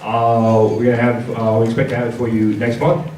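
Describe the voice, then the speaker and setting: A man giving an informal presentation at a convention panel, speaking in a halting, stop-start way and repeating words. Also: mid-range voice, completely flat delivery. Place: large indoor convention panel room. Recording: echoey hall, distant mic, audience noise.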